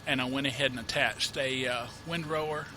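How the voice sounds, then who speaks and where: A man with a mid-range voice talks through a farming game tutorial in a loose, rambling way, full of "uh"s and restarts. He has a cold and sniffles now and then. His home desk mic carries a steady hiss of background noise. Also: mid-range voice, fairly quick. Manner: rambling, self-correcting, many uh fillers. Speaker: gameplay tutorial host. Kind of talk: casual let's-play commentary.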